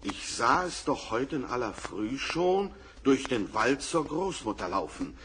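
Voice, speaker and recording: A man's voice: medium pitch, sing-song, unhurried, fairly bright tone. A man playing a bold, righteous huntsman in a German fairy-tale radio play, speaking declamatorily and scolding, on a crackly old record. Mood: amazed. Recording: distant crackly vinyl record.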